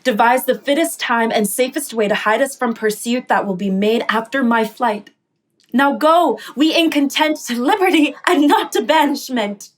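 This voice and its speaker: A woman's voice: fairly high pitch, normal speed, lightly acted. A woman playing a devoted, resolute young noblewoman in a Shakespearean radio play, with earnest formal delivery.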